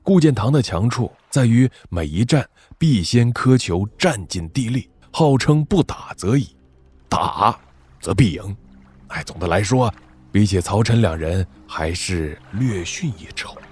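A man's voice: lowish voice, lively intonation, unhurried, darkish tone, husky. A man playing a shrewd, wry military strategist in a wuxia audio drama, speaking in an analytical, sardonic way. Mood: reflective, appraising. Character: shrewd, wry male military strategist weighing rival commanders.

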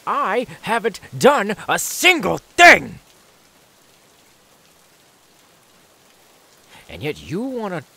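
A man playing an unhinged, menacing, mocking serial killer in a theatrical ASMR horror audio roleplay, volatile between hushed and manic. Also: a little high, creaky, husky, no echo, full character voice. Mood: furious outburst.

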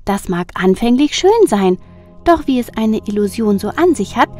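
High cheerful sun goddess. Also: male voice, sing-song, normal speed, soft background music. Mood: compassionate. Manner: gentle, compassionate.

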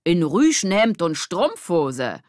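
A woman's voice: fairly low pitch, very animated, slow pace, darkish tone, no room sound, studio quality. A woman voicing a cheeky, swaggering schoolboy in a children's audiobook, in standard German. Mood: mocking. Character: cheeky, boastful schoolboy gang members, teasing.

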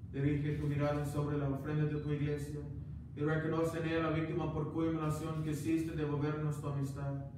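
A man in his thirties, a priest reciting the prayers of Mass in a steady recited manner, in a small chapel picked up by a distant camera mic. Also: fairly high pitch. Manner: steady recited prayer, chants some parts. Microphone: far camera. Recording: echoey chapel, distant mic, background hiss.

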